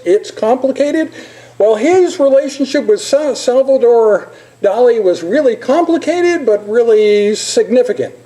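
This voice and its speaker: A man giving an informal, anecdotal presentation as a physician, speaking in a halting, digressive storytelling way. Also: sits high, mellow, poor audio.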